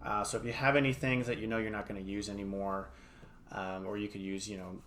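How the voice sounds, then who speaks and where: A man's voice: fairly low pitch, little pitch movement, fast talker, fairly bright tone. Casual man teaching crafts over background noise. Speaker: art educator, host. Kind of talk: casual step-by-step craft tutorial. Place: home studio. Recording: clear background noise, small clicks.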